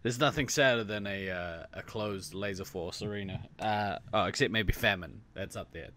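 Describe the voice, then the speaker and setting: A man's voice: on the low side, moderate pace, pushed and bright, slight background noise. A man hosting a podcast in casual chat, loose and laughing, with plenty of fillers. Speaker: podcast host.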